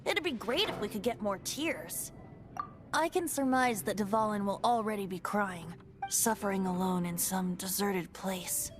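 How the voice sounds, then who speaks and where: A woman's voice: mid-range voice, a little slow, over music, natural voice. A woman voicing a breezy, cheeky young male bard in a video game, lighthearted and theatrical. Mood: explaining, light.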